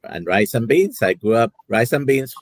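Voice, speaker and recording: A man from Puerto Rico, a doctor co-hosting a live stream, talking in a relaxed, conversational way. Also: mid-range voice, normal speed, no room sound, clean studio sound. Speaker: co-host, doctor. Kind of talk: casual chat on a live stream.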